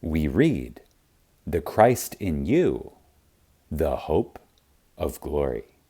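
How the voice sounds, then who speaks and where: A man with a low, deep voice narrates a spiritual teaching audiobook. He reads very slowly and reverently, with deliberate pauses. His pitch moves widely as he stresses key words for emphasis. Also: big pitch swings, warm tone, slightly rough.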